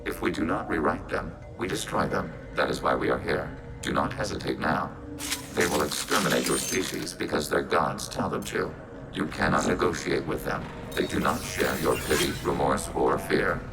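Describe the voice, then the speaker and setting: A man's voice: extremely low pitch, moderate pace, creaky, over music. A man voicing a logical, emotionless robot soldier of a collective mind in a video game, speaking in formal, clipped statements through synthetic processing. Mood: impassive, analytical. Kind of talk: video game character acting.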